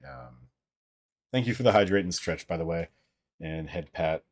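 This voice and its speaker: A young man casually chatting with livestream viewers in a deep, fairly monotone voice, rambling with filler words and frequent swearing, his voice a little strained. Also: normal speed. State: voice strained from an old throat injury. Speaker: livestream host.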